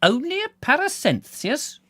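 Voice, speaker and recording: A man voicing an elderly male doctor, indignant and easily shaken, his voice jumping high in outrage. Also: big pitch swings, a little slow, no echo. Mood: aghast. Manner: indignant, rising to high exclaims.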